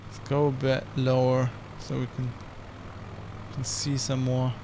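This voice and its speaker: A man with a gravelly, fry-edged voice chats loosely while streaming, speaking English. His talk is casual and meandering, full of false starts and self-corrections. His pitch sits at an ordinary middle level for a man. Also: completely flat delivery, measured pace, noisy background. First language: German. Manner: rambling, with many restarts and self-corrections. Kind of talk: casual flight-sim stream commentary.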